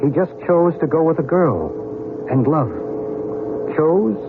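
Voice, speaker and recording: An actor playing a calm, awed witness to a mystery in an old radio drama, speaking in a solemn, measured, assured way. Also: male voice, a little high, noisy background. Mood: calm certainty. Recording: tinny old radio broadcast with music.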